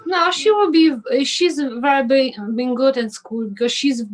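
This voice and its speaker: A woman, a parent on a webinar panel, speaking haltingly and searching for words over a video call. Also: sits high, animated. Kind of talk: casual panel chat.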